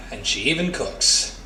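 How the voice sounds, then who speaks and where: A man acting a roguish ex-crook of a radio play, drawling and exasperated. His high voice is slow and swings widely, recorded roomy and off the mic. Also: sharp tone, heavily acted. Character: roguish reformed crook, easygoing turned rattled. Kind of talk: audio drama acting. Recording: roomy, distant, faint noise.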